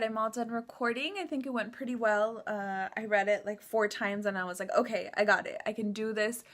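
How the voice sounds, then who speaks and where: A woman's voice: mid-range voice, fairly bright tone. A young woman whose first language is Spanish, talking casually and upbeat as she vlogs about her day. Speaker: vlogger and voice actor. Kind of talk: casual vlog chat.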